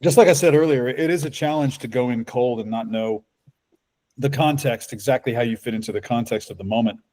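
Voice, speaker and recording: Talkative bass-baritone man, relaxed and measured. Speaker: voice actor guest. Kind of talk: casual podcast interview.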